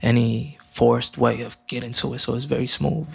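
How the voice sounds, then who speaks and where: A man's voice: medium pitch, vocal fry, slightly rough. A young man from Romania, a guest musician, talking casually in an interview with many fillers and restarts. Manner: relaxed, halting, many fillers.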